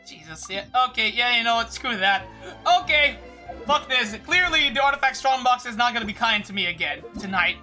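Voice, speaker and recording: A woman's voice: fairly high pitch, expressive, fairly quick, sharp tone, soft background music. A woman livestreaming a game with casual, rambling commentary, often stammering and swearing, on a streaming microphone.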